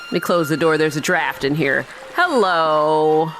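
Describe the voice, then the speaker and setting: A woman's voice: sits low, unhurried, a bit bright, rough recording. A woman hosting a gaming video with casual, chatty commentary and joking, sarcastic asides. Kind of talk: casual let's-play commentary. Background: game music faintly behind the voice.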